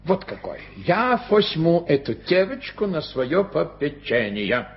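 An actor playing a sly, self-assured doctor who drawls and teases in a radio play. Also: male voice, a little high, very animated, slow pace, a little hiss or hum, heavily acted. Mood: persuasive. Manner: drawling, sings a teasing ditty. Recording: dull vintage radio recording with music.

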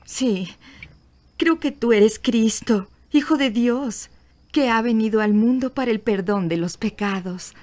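A woman playing an earnest, devout, grieving sister in a dubbed animated Bible film. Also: fairly high pitch, unhurried, natural voice.

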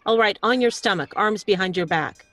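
A woman's voice: medium pitch, normal speed, a bit bright, natural voice. A woman playing a fierce, cool-headed rescuer in a radio mystery play. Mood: cold, commanding.

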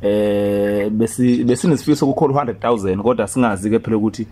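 A man's voice: lowish voice, fast talker, dark tone. A young man hosting a comedy prank phone call outdoors, talking in casual street style. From South Africa. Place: outdoors beside a parked car under a carport.

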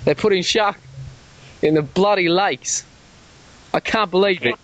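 A man's voice: sits high, a little slow, fairly bright tone. A man with an Australian accent, rambling and stammering through a prank phone call, swearing when he gets worked up. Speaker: prank caller. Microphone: telephone.

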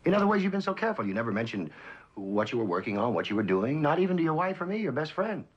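A suave, wildly swooping cynical spy. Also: male voice, a little high, big pitch swings, breathy edge. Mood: smug. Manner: theatrical, sly, musing with dramatic pauses and chuckles. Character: suave, cynical spy, a traitorous best friend who loves the game. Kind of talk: mid-century American TV drama acting. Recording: dull old television soundtrack.

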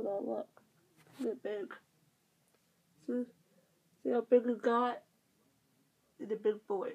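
A young woman with a stuffy nose, rambling casually through a vlog update while lying on her bed. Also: medium pitch. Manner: rambling with many fillers and restarts. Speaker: vlogger. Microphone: device camera at close range. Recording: tinny phone-like sound.

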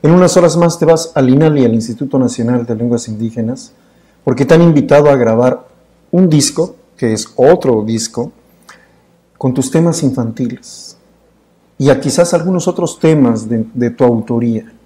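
A man's voice: medium pitch, moderate pace, mellow. A middle-aged Mexican man hosting a studio interview, asking questions in long, stacked clauses. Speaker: interview host. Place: dark indoor studio.

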